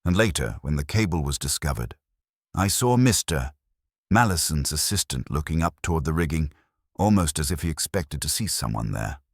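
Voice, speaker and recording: A man voicing a shy, nervous woman who works in a library, gentle, careful and hesitant, in a mystery story. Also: a bass voice, animated, normal speed, no echo, studio quality. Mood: uneasy hesitant.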